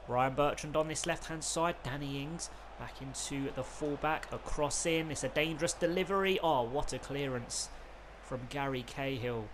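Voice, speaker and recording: A man, who has a cold, doing excited play-by-play commentary over a football video game. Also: medium pitch, normal speed. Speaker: gaming YouTuber.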